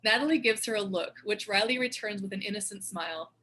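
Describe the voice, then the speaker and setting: A woman's voice: medium pitch, quick pace, sharp tone. A woman narrator reading stage directions in a scripted narration style.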